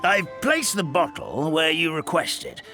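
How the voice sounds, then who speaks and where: A man's voice: fairly high pitch, animated, slow, vocal fry, heavily acted. An actor playing a loyal, formal old servant who addresses his mistress with courtly deference. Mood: deferential. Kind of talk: video game cutscene dubbing. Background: game music.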